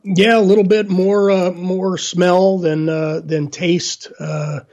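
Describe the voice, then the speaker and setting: A middle-aged man, hoarse after illness, answering interview questions in a halting way with many fillers and restarts. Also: high-pitched, flat delivery, darkish tone. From Northern Virginia, USA. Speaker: guest. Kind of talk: casual interview talk. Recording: dull lo-fi line.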